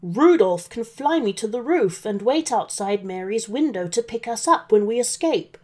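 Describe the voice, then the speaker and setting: A woman's voice: a little high, expressive, moderate pace, darkish tone. A woman voicing a jolly, kindly old man with a hearty, reassuring manner in a children's story. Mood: delighted.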